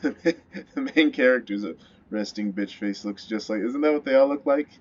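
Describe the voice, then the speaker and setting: A man's voice: mid-range voice, moderate pace, highs cut off. A man giving casual gameplay commentary as he reacts to a video game. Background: game music.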